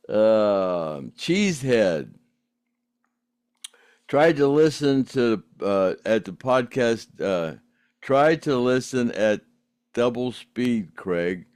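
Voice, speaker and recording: A man's voice: medium pitch, expressive, drawn-out words, pauses often, darkish tone, clean tone, no room sound, clean studio sound. An older man, chatting casually as a podcast host reading out viewer comments, rambling with frequent laughs and restarts. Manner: rambling, laughs often, many fillers and restarts. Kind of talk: casual chat.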